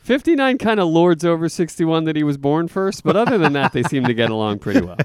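A man's voice: on the high side, lively intonation, quick pace, clean tone, no echo, clean studio sound. A man co-hosting a podcast in casual chat, joking and laughing often. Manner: jokey, laughs often, rambling. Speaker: podcast co-host.

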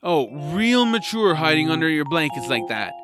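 A man voicing an eager, earnest young boy hero with an excitable manner in a family fantasy audio drama. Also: a little high, normal speed, over music. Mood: exasperated, pleading.

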